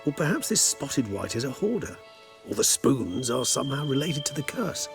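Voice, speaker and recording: A learned vampire scholar for a video game, wry and cautious. He speaks with measured, formal courtesy and an ornate vocabulary, at a middling male pitch, with music playing behind him. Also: very animated, creaky. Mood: politely dry. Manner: wordy, formal, precise and measured. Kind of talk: video game dialogue acting.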